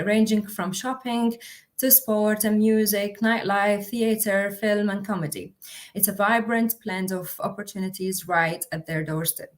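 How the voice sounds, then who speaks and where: Young woman hosting a uni livestream, lots of ums and restarts, mid pitch. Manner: hesitant, many fillers and restarts. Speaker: international officer. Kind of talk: livestream presentation.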